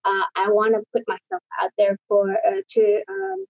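A woman's voice: mid-range voice, very animated, normal speed, breathy edge, no echo, narrow, tinny audio. A woman from Indonesia, a guest talking casually over a video call, rambling with many fillers and restarts.

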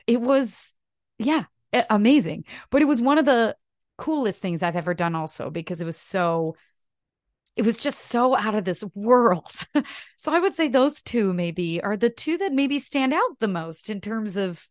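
A woman's voice: medium pitch, no echo, clean studio sound. A woman in her thirties from Canada whose first language is French, chatting in a casual interview and telling stories with many "like" fillers. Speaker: actress, podcast guest.

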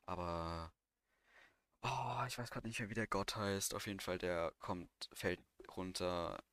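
A man's voice: low-pitched, steady tone, measured pace, a bit bright, dry recording. A young man co-hosting a podcast in an informal, conversational style. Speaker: podcast co-host. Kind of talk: casual chat.

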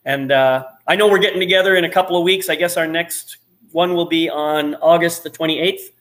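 A man's voice: a little high, fairly even intonation, fairly quick, a bit bright. A man hosting a casual chat over a video call, upbeat and hesitant, restarting his sentences often. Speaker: host.

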